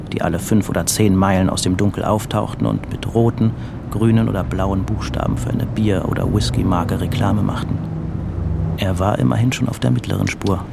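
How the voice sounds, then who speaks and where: A man narrating a literary radio drama about a tense road trip in a scripted storytelling style. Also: heavy background noise. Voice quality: low, creaky.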